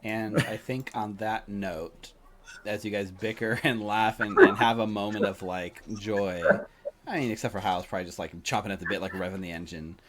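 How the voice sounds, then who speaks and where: A man's voice: on the low side, fairly even intonation, normal speed, a crowd in the background. A man narrating a tabletop game as game master in a casual, improvised, rambling way. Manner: rambling, peppered with "like" and "you know".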